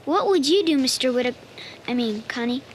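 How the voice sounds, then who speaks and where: An earnest schoolboy speaks in a high, hesitant, childlike voice, confiding his worries. There is clear noise behind the voice. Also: expressive, a little fast. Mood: unsure. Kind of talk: family radio drama acting.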